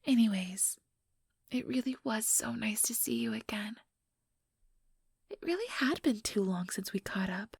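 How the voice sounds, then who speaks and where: A very breathy, whispering female vampire acted by a woman in an ASMR role-play. She speaks slowly and condescendingly, mock-sweet on the surface and coldly menacing beneath. The sound is close and dry. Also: medium pitch, huge pitch swings, a little mellow, dry recording, heavily acted. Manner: slow, taunting, mock-sweet. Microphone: close, dry microphone.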